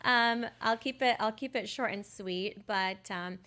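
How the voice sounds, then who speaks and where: Female podcast guest, middle-aged, from Lebanon, average pitch, conversational storyteller. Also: unhurried, pushed and bright. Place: podcast studio.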